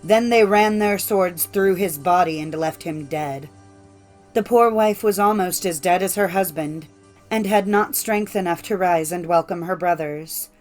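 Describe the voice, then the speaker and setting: A woman's voice: on the low side, unhurried, a little mellow, soft background music. A woman narrating a scripted fairy tale as an audiobook, in a formal storytelling manner.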